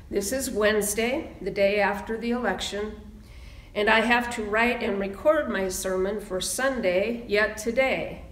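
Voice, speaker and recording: A woman minister speaking in a measured, deliberate sermon style, pausing for emphasis as she reads and preaches. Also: mid-range voice, fairly bright tone. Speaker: minister leading a church service. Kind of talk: sermon and slow, solemn readings. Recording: roomy, echoing sound.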